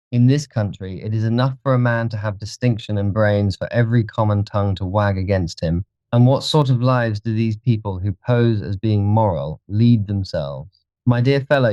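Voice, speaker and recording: Audiobook part: haughty, petulant young gentleman, played by a man, low voice, languid and contemptuous, dull dry recording. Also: no echo, close to an everyday voice. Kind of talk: audiobook character reading.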